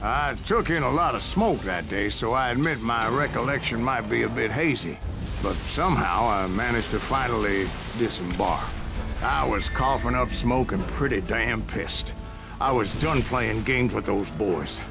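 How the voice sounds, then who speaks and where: Gravelly old gunslinger. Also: male voice, medium pitch, animated, measured pace. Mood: bitter, determined. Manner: storytelling, folksy, self-assured. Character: grizzled, stubborn old gunslinger recounting his exploits. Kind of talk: dramatic game narration. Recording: music behind the voice.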